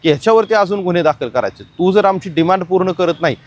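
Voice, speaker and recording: A man's voice: sits high, lively intonation, quick pace. A man presenting explanatory crime news commentary, emphatic and speaking straight to the audience.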